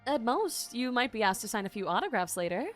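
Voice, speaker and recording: Exclamatory woman streamer with swooping pitch. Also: lively intonation, fairly quick. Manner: exclamatory, giggly. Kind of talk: casual game reaction commentary. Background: game music.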